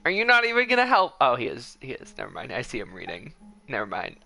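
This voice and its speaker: A man's voice: mid-range voice, huge pitch swings, quick pace, gravelly, bright, forceful tone, soft background music. A man streaming a game with casual, informal, rambling commentary for his viewers. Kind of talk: casual livestream commentary over gameplay.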